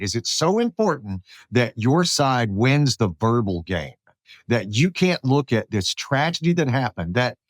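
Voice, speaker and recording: Animated American man with swooping pitch. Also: dry recording. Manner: animated, emphatic, acts out quotes. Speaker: podcast host. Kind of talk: conversational political talk.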